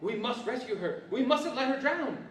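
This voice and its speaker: A man acts a cocky boy adventurer in a live radio play. The voice is extremely high, brash and bossy, giving orders. It echoes through a hall, some way from the microphone. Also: animated, fairly bright tone. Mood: urgent, brash. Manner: brash, bossy, hurried commands. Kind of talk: 1940s radio-theater acting. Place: large library hall, live stage performance. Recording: echoey hall, distant mic, faint noise.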